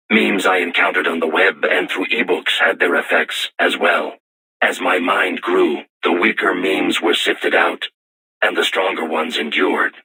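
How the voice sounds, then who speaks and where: A man voicing a solemn self-aware robot war machine in a video game, with formal, measured, stilted diction and robotic processing on the voice. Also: deep voice, bright, forceful tone, husky, heavily acted, low-quality recording. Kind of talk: scripted video game voice acting.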